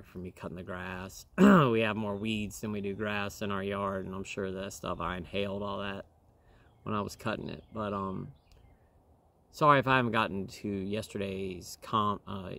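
A man in his thirties, slightly hoarse from dust, rambling earnestly to the camera outdoors with many fillers and clearing his throat. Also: deep voice, bright, forceful tone, a little hiss or hum. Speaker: vlogger. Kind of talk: casual talk to camera. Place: outdoors in a wooded yard. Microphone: camera in front at close range.

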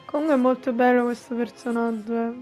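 A woman's voice: a little high, fairly even intonation, quick pace. A woman from Italy giving casual, chatty, informal commentary as a gaming YouTuber. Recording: game audio and music behind. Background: video game music and dialogue.

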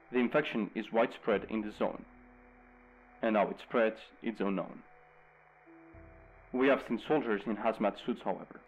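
An actor playing a grave radio news host, reading a scripted bulletin in a measured, urgent way over a lo-fi broadcast. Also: male voice, lowish voice, close to an everyday voice. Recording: dull lo-fi radio broadcast sound.

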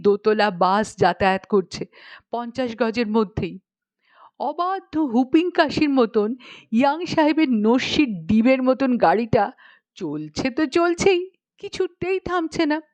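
A woman's voice: fairly high pitch, lively intonation, unhurried, dry recording. A woman audiobook narrator reading a humorous story expressively.

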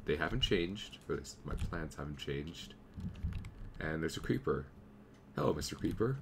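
A young man with a slight cold, casually commenting on his game as he plays and thinking aloud, now and then clearing his throat. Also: extremely low pitch, lively intonation, moderate pace, noisy background. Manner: easygoing, thinking aloud while playing. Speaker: gameplay commentator. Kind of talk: casual let's play commentary.

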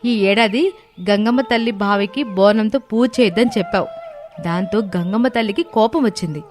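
A woman playing a bold, outspoken young village daughter-in-law, speaking in an earnest, dramatic way in an animated moral story. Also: medium pitch, normal speed, faint music behind, close to an everyday voice. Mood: accusing.

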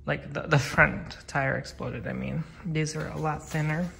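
A man's voice: fairly high pitch, fairly even intonation, measured pace, vocal fry, fairly bright tone. A man in his thirties chatting casually at home into a handheld phone, rambling and filling his talk with "like". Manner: chatty, rambling, heavy use of "like". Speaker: vlogger. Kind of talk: casual vlog chat. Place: home, indoors. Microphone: handheld phone camera.

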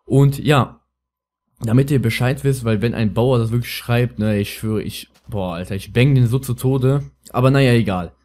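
A man's voice: mid-range voice, normal speed, a little mellow. A young man with a lisp giving casual, rambling, slangy gameplay commentary as a let's player. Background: music.

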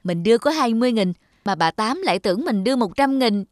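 A woman's voice: a little high, lively intonation, quick pace, close to an everyday voice. A woman voicing a young, mischievous and easily flustered schoolboy in a children's cartoon. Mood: gleeful.

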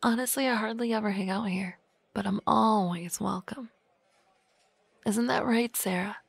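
A woman playing a husky, teasing office boss. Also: mid-range voice, normal speed, dry recording. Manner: dry teasing banter, soft whispery asides. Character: teasing office-lady boss, sardonic, lonely single woman. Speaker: ASMR roleplay voice actress. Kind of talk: ASMR roleplay.

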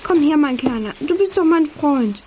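A woman's voice: high-pitched, animated, normal speed, a little mellow. A woman playing a cocky, carefree young boy in a children's radio play. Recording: faintly noisy old cassette.

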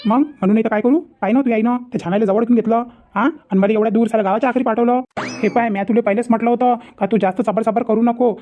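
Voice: medium pitch, fairly even intonation, fast talker, a little hiss or hum, close to an everyday voice. A performer voicing a cheeky, grumbling young village lad in a comedy cartoon, with a Vidarbha Marathi accent and a habit of repeating himself. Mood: irritated. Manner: muttering complaints, repeating himself. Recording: muffled, phone-like lo-fi sound.

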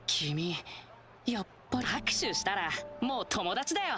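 A woman's voice: medium pitch, sing-song, a little slow, music in the background. A woman voicing a cheerful, eager young male lion dancer in a video game, with a friendly, earnest, boyish manner. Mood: friendly, proud.

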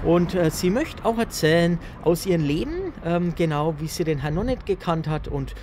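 A man acts as interviewer in a casual conversation about faith. His voice sits quite high for a man. He is recorded outdoors, with clear street noise behind him. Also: lively intonation, normal speed, a little mellow. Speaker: interviewer and host.

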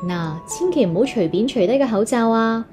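Storybook mum, played by a woman, caring and instructive, lively intonation, Hong Kong Cantonese accent. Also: fairly high pitch, a little fast, music in the background, lightly acted. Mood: concerned.

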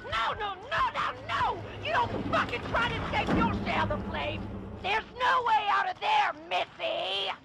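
Unhinged shrieking matriarch, high gravelly voice, slow menacing delivery, noisy game audio. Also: female voice, lively intonation, loud music behind the voice, heavily acted. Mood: menacing rage. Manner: screaming, threatening, unhinged. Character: deranged motherly woman, menacing family matriarch.

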